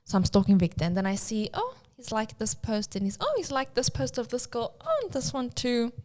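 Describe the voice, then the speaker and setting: High-voiced woman bantering on a podcast. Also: sing-song, brisk, a little mellow. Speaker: podcast co-host. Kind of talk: casual group banter.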